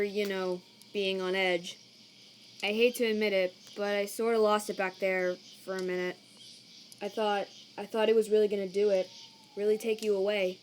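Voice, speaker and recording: A woman's voice: mid-range voice, slight background noise, lightly acted. A laid-back male cartoon skeleton acted out by a woman talks in a middling register. He is slangy and protective, with a weariness that makes him halt over his words. Night insects chirp faintly behind him. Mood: gentle. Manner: casual, slangy, halting when troubled. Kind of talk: comic dub acting. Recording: cricket night ambience behind.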